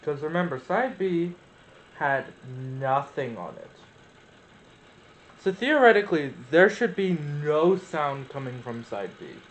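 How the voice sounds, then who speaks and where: A man's voice: fairly high pitch, big pitch swings, slow pace. Man thinking aloud in an echoey room. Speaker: presenter of an experiment video. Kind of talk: casual hands-on demonstration, thinking aloud. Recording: roomy echo, noticeable background noise.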